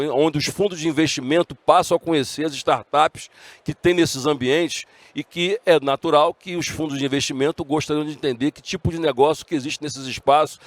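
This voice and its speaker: A man's voice: a little high, no echo. A middle-aged man with a Brazilian Portuguese accent giving a conference talk, earnest and often restarting his words. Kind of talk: conference speech. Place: conference stage.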